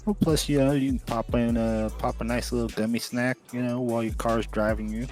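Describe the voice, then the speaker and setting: A man playing a laid-back cannabis dealer in an improvised game roleplay, chatting in a halting, slangy way. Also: mid-range voice, flat delivery, a little mellow, soft background music, close to an everyday voice. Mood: chatty. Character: laid-back male cannabis shop businessman in crime roleplay. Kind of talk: improvised roleplay, casual chat.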